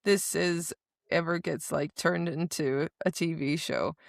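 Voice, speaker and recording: A woman with a slightly low voice in a casual podcast chat about books. She is opinionated and emphatic, using plenty of slang. The sound is dry and close, with no room to it. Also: fairly even intonation, measured pace, gravelly, no echo. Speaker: podcast co-host. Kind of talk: casual book discussion chat.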